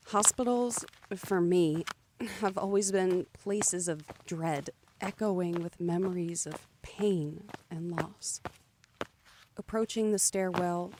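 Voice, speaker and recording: A woman playing an anxious, grieving daughter who narrates her own thriller in a somber, measured, introspective way. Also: on the low side, noisy background. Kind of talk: dramatic first-person audio drama narration.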